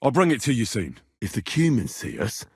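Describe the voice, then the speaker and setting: Young British medieval game hero: husky, down-to-earth, earnest servant lad, very dry sound. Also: male voice, mid-range voice, wildly swooping pitch, fairly quick, dry recording, full character voice. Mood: reassuring. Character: plain-spoken young male medieval servant and adventurer. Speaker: video game voice actor. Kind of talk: scripted game character dialogue.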